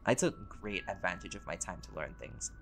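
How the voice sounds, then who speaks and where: A man playing a laid-back, centuries-old vampire scholar in an audio roleplay, talking in a relaxed, conversational and wryly playful way. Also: low voice, fairly quick, vocal fry, exaggerated voice. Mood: easygoing, matter-of-fact. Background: music.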